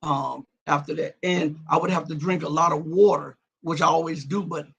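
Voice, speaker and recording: A man's voice: a little high, steady tone, normal speed, a bit bright, slight background noise. A man hosting a live-stream show and reading out viewer comments. He talks emphatically, restarts and repeats words, and his voice cracks and breaks up at times. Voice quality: raspy.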